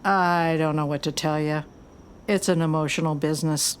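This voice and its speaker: Woman acting tough American music executive, middle-aged, lowish voice, blunt, matter-of-fact, audio drama. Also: steady tone, normal speed, a little hiss or hum, lightly acted. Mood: defiant, blunt. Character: tough, blunt female record label boss and worried mother.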